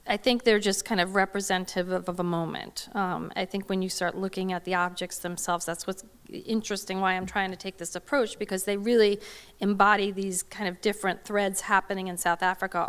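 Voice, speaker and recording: A middle-aged woman answers questions from a podium in a large hall. Her voice is smooth and clear, with a flat, level pitch. She talks hesitantly, full of fillers and restarts. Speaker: lecturer answering audience questions. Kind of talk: academic Q&A talk. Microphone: podium microphone.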